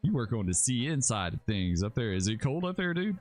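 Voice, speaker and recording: A man's voice: medium pitch, very animated, brisk, a little mellow. A man from Alabama, casually commentating his live stream, rambling and chatting with viewers. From Alabama, USA. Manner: laid-back, rambling, chats with viewers, says dude and bro. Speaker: livestreaming gamer host.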